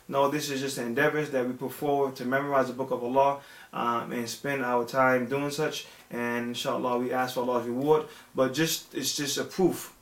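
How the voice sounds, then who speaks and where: A man in his thirties, a father and religious teacher, gives an informal talk to viewers with many pauses, fillers and restarts. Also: medium pitch, fairly bright tone. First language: English. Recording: echoey room.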